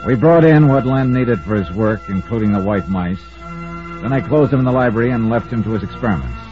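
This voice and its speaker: An American man acting a brisk, commanding, hard-boiled investigator in an old-time radio detective drama, also narrating it. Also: on the low side, lively intonation, music in the background, close to an everyday voice. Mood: dry narration. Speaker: lead actor and narrator of a radio detective serial. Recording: muffled old radio recording.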